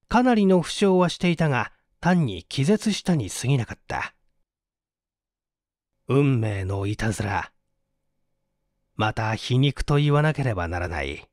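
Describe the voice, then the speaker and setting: A man's voice: on the high side, dry recording, studio quality. A man reading mystery fiction as an audiobook narrator, in a theatrical, suspenseful manner.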